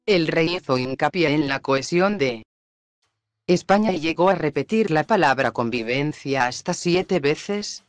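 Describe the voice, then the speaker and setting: A man reading a scripted news report in a formal, measured way. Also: a little high. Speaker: news narrator.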